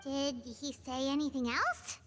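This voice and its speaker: A woman voicing a tiny, chatty, childlike fairy companion in a video game, with a squeaky voice. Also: expressive, measured pace, a bit bright, faint music behind. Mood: uneasy. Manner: refers to self in third person.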